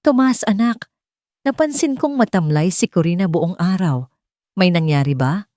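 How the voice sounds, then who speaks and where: A woman with a Filipino accent voicing a concerned, perceptive elderly mother, gentle and earnest, in an audio story. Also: fairly low pitch, animated, normal speed, no echo, clean studio sound, close to an everyday voice.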